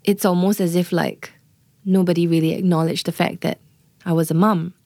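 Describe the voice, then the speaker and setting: A woman's voice: on the low side, fairly even intonation, moderate pace, dark tone, no echo, studio quality. A woman working as a doula, explaining birth in an earnest interview manner and often checking in with "right?" and "you know". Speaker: doula, guest.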